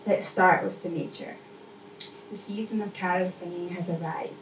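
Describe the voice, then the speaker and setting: Woman, lowish voice, spoken-word poetry, deliberate pauses, distant echoey recording. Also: steady tone. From Scotland. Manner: measured, deliberate phrasing with repetition and pauses. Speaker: poet. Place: indoor live poetry event. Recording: echoey, noisy room, mic distant.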